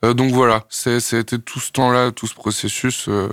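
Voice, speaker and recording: A young man from France, a singer-songwriter, answering radio interview questions in a thoughtful, hesitant way with many pauses and fillers. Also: mid-range voice, level tone, fairly bright tone, dry recording, clean studio sound.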